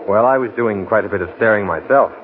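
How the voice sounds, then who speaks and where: An actor playing an earnest, awkward, smitten novelist in a 1940s radio drama, speaking with polite hesitation. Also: male voice, lowish voice, fast talker, slight background noise, natural voice. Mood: flirtatious, bashful. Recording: tinny, band-limited 1940s radio broadcast.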